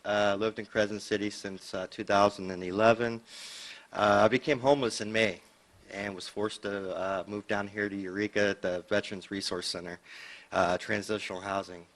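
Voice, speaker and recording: A low-voiced man from California gives personal testimony at a podium microphone in a meeting chamber. He is an army veteran who talks plainly and halts often, with many fillers. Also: steady tone, moderate pace, fairly bright tone. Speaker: public commenter, army veteran.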